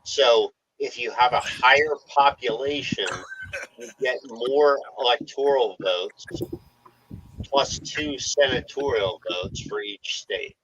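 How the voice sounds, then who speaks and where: A man's voice: mid-range voice, takes it slowly, fairly bright tone, muffled recording, slight background noise. A man from the United States, talking as a guest in a casual chat, hesitant and explanatory.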